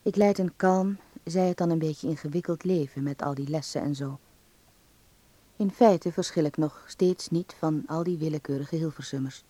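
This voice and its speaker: Actor as mellow young man reading his letter. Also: fairly high pitch, normal speed, no room sound, lightly acted. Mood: calm, confiding. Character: young male acting student writing calmly to his mother. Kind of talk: radio drama letter monologue.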